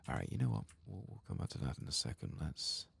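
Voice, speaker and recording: A man narrating a game playthrough in a hushed, unhurried ASMR style, often slipping into a whisper. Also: very deep voice, very animated, creaky, breathy edge, no echo. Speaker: host of a gaming ASMR channel. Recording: faint background noise.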